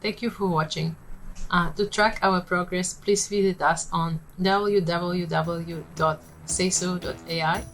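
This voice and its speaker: Polish-accented woman pitching her startup. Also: steady tone, unhurried, poor audio. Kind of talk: rehearsed pitch presentation.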